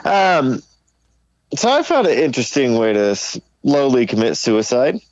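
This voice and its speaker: A man's voice: mid-range voice, sing-song, unhurried, a little mellow, dry recording. A man co-hosting a podcast, talking casually on a slightly dull recording. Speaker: podcast co-host.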